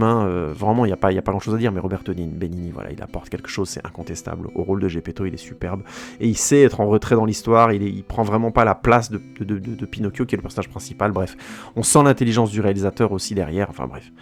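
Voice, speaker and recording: A man with a low, gravelly voice talks through a film review in a loose, chatty way. He trips over his words and restarts his sentences often. Soft guitar music plays faintly underneath. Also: fast talker. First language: French. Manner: rambling, many restarts and hesitations, informal. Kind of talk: casual spoken film review.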